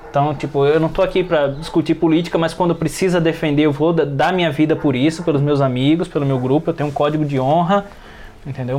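A man's voice: on the high side, a little mellow, a little hiss or hum. A man talking informally on an RPG podcast, often repeating phrases and adding short tag questions. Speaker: RPG podcast participant and player. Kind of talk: casual chat.

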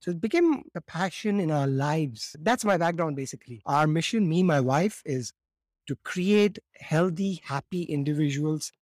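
A man in his fifties, raised in India, telling his story as a podcast guest in a lively way, often acting out quotes and repeating words as he starts. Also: on the high side, moderate pace, no room sound, clean studio sound. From born in Morocco, raised in India. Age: 50s. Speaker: guest, laughter yoga teacher.

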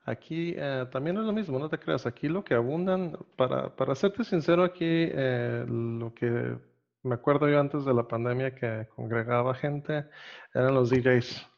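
A man's voice: mid-range voice, moderate pace, vocal fry, highs cut off. A Costa Rican-born man, hosting a casual interview, laid-back and rambling, laughing often. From Costa Rica. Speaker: podcast host.

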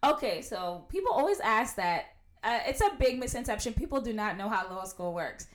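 Young female prosecutor guest, loud and outspoken, in an echoey room. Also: medium pitch, expressive, brisk. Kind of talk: casual interview chat. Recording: roomy echo.

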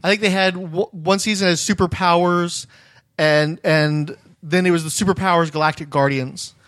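A man's voice: sits high, steady tone, normal speed, a bit bright, dry recording. A man hosting a casual comic-book chat, explaining things in knowledgeable detail. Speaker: podcast host interviewing a friend.

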